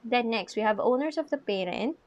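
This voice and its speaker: High-voiced Filipino woman teaching. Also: expressive, brisk, a little mellow, no echo, lo-fi sound. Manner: switches between English and Tagalog, checks understanding often. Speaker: accounting teacher. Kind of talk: informal lecture working through problems.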